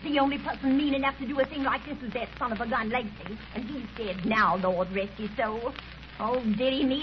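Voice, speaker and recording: A woman's voice: high voice, lightly acted. An actress playing a chatty, fretful working-class landlady in a folksy, exclaiming manner, in 1930s radio drama style. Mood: lamenting, fretful. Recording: noisy, muffled 1930s radio recording.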